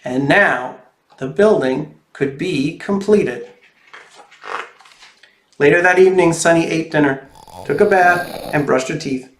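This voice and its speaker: A man with a slightly high voice in a very roomy, echoey space. He reads a children's storybook expressively and speaks straight to the kids watching, like an author hosting story time. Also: a little slow, long pauses. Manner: expressive, addresses young viewers directly. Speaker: children's author reading aloud. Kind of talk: animated storybook read-aloud and friendly address to children.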